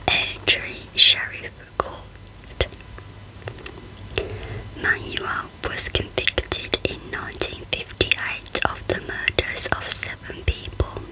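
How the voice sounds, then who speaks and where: Slow whispering woman, ASMR narrator. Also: rough recording. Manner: hushed, unvoiced whispering. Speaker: host of a true crime channel.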